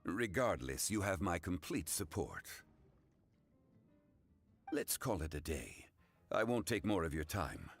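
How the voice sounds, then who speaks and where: An old-fashioned, courteous connoisseur from a video game. The voice is very deep yet melodically varied, with a formal, measured delivery. Soft music sits underneath. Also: male voice, big pitch swings, exaggerated voice. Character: refined, old-fashioned gentleman tea connoisseur, calm and courteous.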